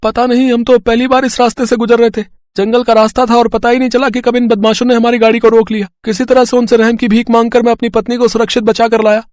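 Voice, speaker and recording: Wealthy traveller husband, cartoon moral tale, played by a man; high-set voice, earnest, pleading, dry sound. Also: level tone, fast talker, few pauses, darkish tone, no echo. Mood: grateful, reassuring. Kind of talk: dramatised moral story acting.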